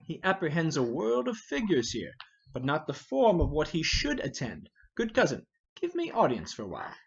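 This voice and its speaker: A man playing a measured, scheming older noble uncle in a formal, deliberate Shakespeare reading. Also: a little high, wildly swooping pitch, gravelly, husky, muffled recording, exaggerated voice. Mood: conspiratorial. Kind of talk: dramatic reading of a Shakespeare play.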